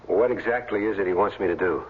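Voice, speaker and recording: Plain-spoken ex-athlete stand-in, played by a man: low voice, earnest, uneasy, informal, thin old radio-play sound. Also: quick pace, narrow, tinny audio, background noise, close to an everyday voice. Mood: wary, questioning. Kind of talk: 1970s radio drama acting.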